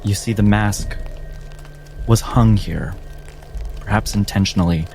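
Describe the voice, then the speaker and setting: A man's voice: lowish voice, unhurried, creaky, a little mellow, a little hiss or hum. A man narrating scenes for a tabletop roleplaying game as the game master. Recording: music behind the voice.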